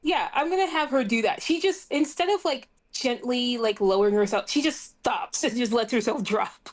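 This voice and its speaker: A woman's voice: a little high, normal speed. A woman chatting casually as a tabletop game player, improvising what her character does. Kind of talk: casual improvised roleplay chat.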